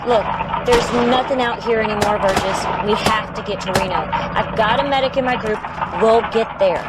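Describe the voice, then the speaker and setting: A woman's voice: a little high, normal speed, heavy background noise, lightly acted. A woman playing a brash, confident fighter in an audio drama, breezy and commanding. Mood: urgent, persuasive. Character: brash, confident female vehicle driver and fighter. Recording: loud gunfire and vehicle effects.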